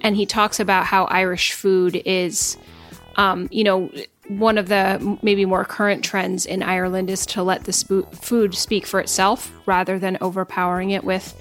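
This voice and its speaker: Brief: woman podcast co-host, even flat delivery, soft music behind. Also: mid-range voice, moderate pace. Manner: chatty, many "um" and "you know" fillers. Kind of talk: casual podcast chat.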